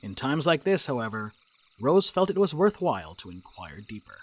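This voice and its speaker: A man's voice: medium pitch, sing-song, normal speed. A man reading scripted narration for a dramatic reading. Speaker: narrator reading stage directions.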